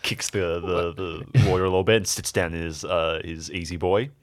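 A man narrating an improvised audio drama casually and haltingly, as one of its players. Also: sits low, steady tone.

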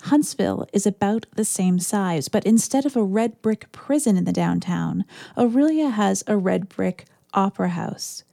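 A woman's voice: medium pitch, lively intonation, moderate pace, vocal fry, few pauses, mellow, no echo, studio quality. A woman with a Canadian accent hosting a podcast with reflective narration. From Ontario, Canada. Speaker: podcast host, reverend.

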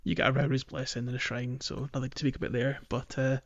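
Male podcast host, casual and rambling game chat, mid-pitched voice, slightly muffled lo-fi recording. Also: little pitch movement, fast. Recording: dull, lo-fi audio.

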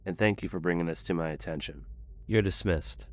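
An actor playing a stern, measured spaceship commander in an audio drama, with a dry, clipped, authoritative manner. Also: male voice, low-pitched, a little hiss or hum, close to an everyday voice. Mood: formal, approving.

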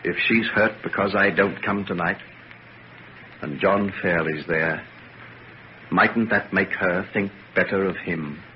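Brooding gentleman, muffled old radio drama. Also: deep voice, slow, pauses often, a little hiss or hum. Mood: impassioned. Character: earnest, brooding young gentleman torn by love.

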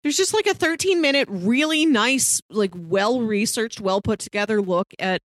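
A woman's voice: a little high, lively intonation, normal speed, dry recording, studio quality. A woman co-hosting a film podcast, talking casually and descriptively in a thoughtful way. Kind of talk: casual movie discussion.

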